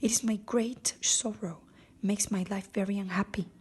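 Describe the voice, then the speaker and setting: A husky, breathy storybook lion, acted out by a woman. He is a big beast but a sorry coward, plaintive and ashamed of himself. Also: medium pitch, steady tone, moderate pace, a little mellow. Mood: sad, self-pitying. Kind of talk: storybook character voice.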